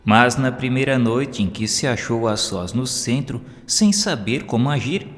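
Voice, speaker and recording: A man's voice: mid-range voice, lively intonation, a little slow. A man with a Brazilian accent reading scripted audiobook narration as a storyteller. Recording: long hall-like reverb. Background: music.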